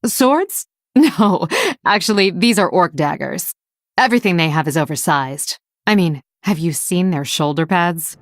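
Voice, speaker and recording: An actress playing a sly, sardonic elf rogue assassin in a video game, with a dry, teasing, theatrical delivery. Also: female voice, fairly low pitch, unhurried, no room sound, lightly acted. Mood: playful, cocky. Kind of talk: video game character voice lines.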